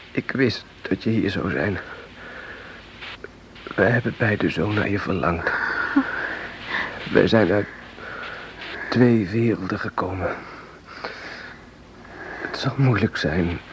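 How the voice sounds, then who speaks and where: An actor playing a wounded young idealist rebel in a Dutch radio play, exhausted and in pain, speaking in strained, broken phrases. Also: male voice, sits low. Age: young adult. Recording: noisy old radio play recording.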